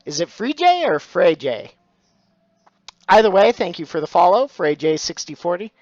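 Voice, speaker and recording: A man's voice: high voice, sing-song, normal speed, no room sound, dull audio, soft background music. A middle-aged man, chatting casually on a live stream about his craft, full of dad jokes and thanks to followers. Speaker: stained glass streamer.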